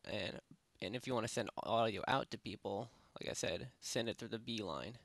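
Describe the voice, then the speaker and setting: A man narrating a software tutorial in a casual, unscripted, rambling way, speaking informally with lots of filler phrases. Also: lowish voice, normal speed, no echo. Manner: rambling, informal, repeats "basically" and "you know". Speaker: tutorial presenter. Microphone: close headset microphone.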